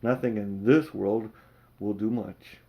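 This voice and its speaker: Older man playing a sorrowful, gently fretting aunt. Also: fairly low pitch, steady tone, takes it slowly, creaky, pitched below the performer's own voice. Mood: mournful.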